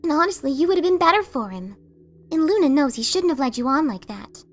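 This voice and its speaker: A woman's voice: high voice, sing-song, fast, soft background music. A woman voicing an earnest, slightly sheepish young pegasus mailmare in a dramatic fan fiction reading. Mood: apologetic.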